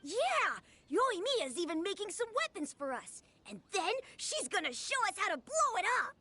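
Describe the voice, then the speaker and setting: A woman voicing an eager, excitable small village child in an anime video game. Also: very high voice, moderate pace, no echo, heavily acted. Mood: excited.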